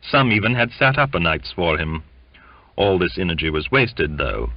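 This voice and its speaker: A man narrating a literary audiobook in a measured, expressive storytelling manner, in dull, muffled lo-fi audio. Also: sits low, no room sound.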